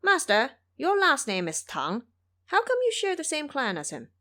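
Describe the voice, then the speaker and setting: Woman voicing brash, cheeky monkey sage, swooping pitch. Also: normal speed, full character voice. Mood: puzzled. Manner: boastful, irreverent, animated.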